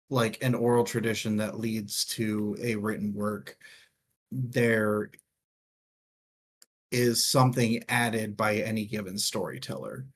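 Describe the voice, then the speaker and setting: A man talks through his thoughts as co-host of a relaxed book chat. His voice sits at a middle pitch, and he often feels his way haltingly toward each point. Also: a little hiss or hum. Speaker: co-host of a book discussion show. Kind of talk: casual book discussion.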